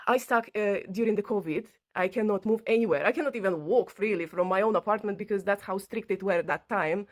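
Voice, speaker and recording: Woman, phrasing, earnest conversational vlog delivery, medium pitch. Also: a little fast. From Latvia. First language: not English. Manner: earnest, non-native phrasing. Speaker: vlogger telling own story. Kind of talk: personal account to camera, conversational.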